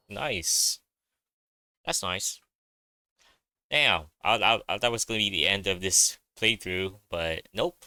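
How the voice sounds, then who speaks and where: A man's voice: sits low, pushed and bright. A man giving casual gameplay commentary as a streamer, informal, muttering short reactions. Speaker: game streamer.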